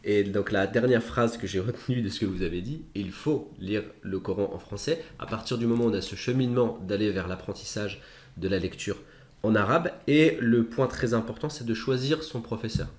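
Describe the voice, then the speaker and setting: A man hosting an interview, summarizing his guest's points in a measured way with some fillers. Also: on the low side, a little mellow. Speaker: interviewer, host. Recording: echoey room.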